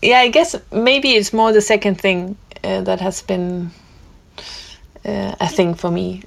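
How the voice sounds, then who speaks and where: Gravelly woman, hesitant and thoughtful. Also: medium pitch, steady tone, unhurried. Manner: hesitant, with many fillers and restarts. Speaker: guest musician. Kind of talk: casual conversation.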